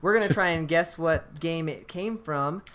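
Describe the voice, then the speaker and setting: A man with a high voice hosting a casual podcast livestream, loose and laughing, swearing now and then. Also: moderate pace, fairly bright tone. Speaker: podcast host.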